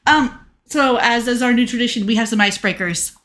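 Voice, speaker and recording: A woman with a bright, slightly high voice chats as a podcast co-host. She keeps the talk casual and delivers her playful humour dryly. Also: brisk. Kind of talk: casual banter.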